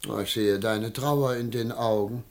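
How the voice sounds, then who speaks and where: Creaky-voiced, blustering scheming husband. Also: male voice, fairly low pitch, fairly even intonation, a little slow, a little mellow. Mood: wheedling. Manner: blustering, exclamatory, wheedling. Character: greedy, jealous, scheming husband, volatile and self-deceiving. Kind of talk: theatrical German classic radio comedy acting.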